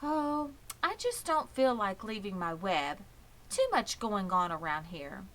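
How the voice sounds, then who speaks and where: A woman voicing a sensible female storybook spider who is brisk, precise, firm and matter-of-fact. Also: medium pitch, lively intonation, fairly bright tone. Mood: reluctant. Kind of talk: storybook character reading.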